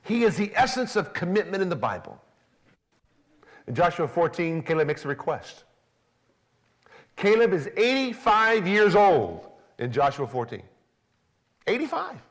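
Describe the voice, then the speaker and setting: A man preaching a sermon, swinging from soft, low emphasis to raised, excited lines, sometimes acting out a biblical speaker in first person. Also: a little slow, long pauses. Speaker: pastor.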